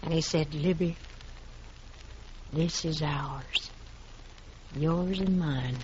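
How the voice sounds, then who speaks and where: A woman's voice: low voice, extremely slow, darkish tone. An actress in a 1950s radio western playing a stubborn widowed frontier woman defending her homestead, with a folksy drawl. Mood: wistful. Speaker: actor in radio drama. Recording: muffled old radio recording, faint hiss.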